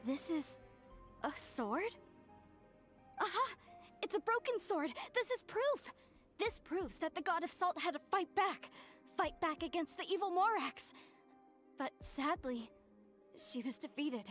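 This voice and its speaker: A woman's voice: very high voice, animated, a little slow, long pauses, a bit bright, soft background music, full character voice. An actress playing an earnest, zealous young woman in a video game, with a formal, impassioned manner. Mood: excited. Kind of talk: English game voice acting.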